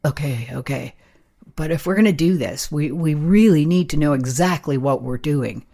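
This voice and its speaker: A woman playing an excitable, practical camera operator in a radio play, talking in a casual, emphatic way. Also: sits low, moderate pace. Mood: hesitant, resigned. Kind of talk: radio play, naturalistic dialogue.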